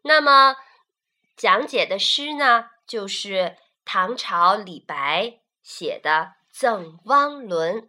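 Bright-voiced woman telling stories to children. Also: medium pitch. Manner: slow, expressive storytelling for children. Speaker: narrator. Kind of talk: children's story narration.

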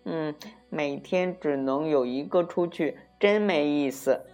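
A woman's voice: low-pitched, slow pace, a little mellow, voice pushed up. A woman voicing mischievous, playful young suns in a children's storybook, with teasing, sing-song calls. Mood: bored, grumbling. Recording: muffled lo-fi recording with music.

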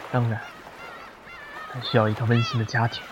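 A man's voice: medium pitch, flat delivery, a little fast, lo-fi sound, noisy background, lightly acted. An actor playing a bewildered lawyer in his thirties, a stranger in another world, earnest and hesitant in a radio drama. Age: 30s. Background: background music.

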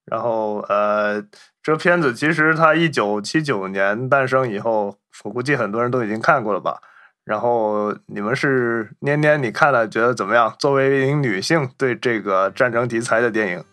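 A man's voice: mid-range voice, normal speed, fairly bright tone. A man hosting a casual film discussion, talking in an informed, explanatory way. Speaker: podcast host.

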